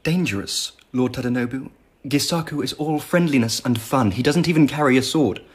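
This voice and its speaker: An actor playing a genial, indulgent but shrewd Emperor in a radio drama, urbane and teasing in manner. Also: male voice, mid-range voice, fairly quick, slightly rough, natural voice. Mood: challenging, unruffled.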